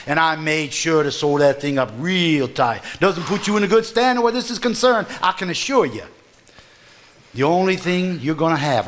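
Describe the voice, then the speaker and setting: A smug Southern estate lawyer. His voice runs a little high. He speaks with deliberate courtesy and never gets rattled. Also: fairly bright tone. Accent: Southern American. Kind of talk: live stage play acting.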